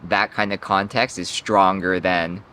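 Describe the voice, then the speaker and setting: A man's voice: low voice, fairly even intonation, a little slow, pushed and bright. A young man hosting a casual interview, laid-back and slangy, with plenty of fillers and easy laughter. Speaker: interviewer and channel host.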